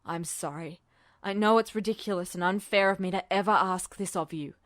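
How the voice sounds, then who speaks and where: A woman voicing a grave, remorseful male military officer in an audiobook story, hushed, earnest and pleading. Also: medium pitch, a little fast, dry recording, studio quality, voice pushed down, lightly acted. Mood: sorrowful, apologetic.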